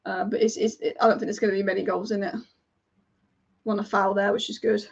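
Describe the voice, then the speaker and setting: A woman's voice: mid-range voice, little pitch movement, fairly quick, creaky, breathy edge, dull audio. A woman commentating a football match as a fan on a live watch-along, chatty and partisan, rambling and reacting to the play as it happens.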